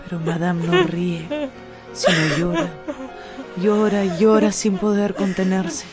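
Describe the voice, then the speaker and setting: A woman's voice: mid-range voice, slow pace, music in the background. A woman narrating a radio drama from a script in a theatrical, emotive storytelling manner.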